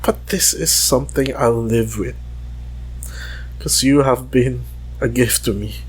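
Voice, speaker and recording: A man giving an intimate, emotional monologue in a halting, confessional way. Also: mid-range voice, steady tone, slightly rough, rough recording.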